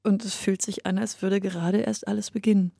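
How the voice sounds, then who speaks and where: Eine Schauspielerin spricht in einem Hörspiel eine nachdenkliche Erzählerin mit gemessenem, literarischem Vortrag. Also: female voice, mid-range voice, little pitch movement, vocal fry, warm tone, no echo, clean studio sound. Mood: wistful, reflective. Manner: measured, literary delivery. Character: reflective woman narrator closing her dream diary. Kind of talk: scripted radio drama monologue.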